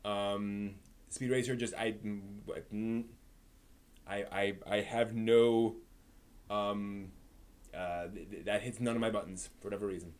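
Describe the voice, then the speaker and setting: A man's voice: lowish voice, steady tone, measured pace, darkish tone. A man hosting a live stream, talking through anime reviews and discussion in a conversational way.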